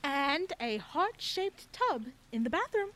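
A woman's voice: sits high, sing-song, takes it slowly, fairly bright tone, heavily acted. An actress playing an eccentric, nosy woman who keeps a creepy motel, with a theatrical, flowery, singsong delivery in an audio drama. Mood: suggestive, chirpy.

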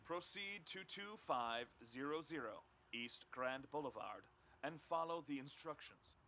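A man's voice: on the high side, animated, measured pace, sharp tone, slight background noise. A man playing a mysterious, imperious game instructor in an audio drama, speaking in precise, clipped orders. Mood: cool, measured.

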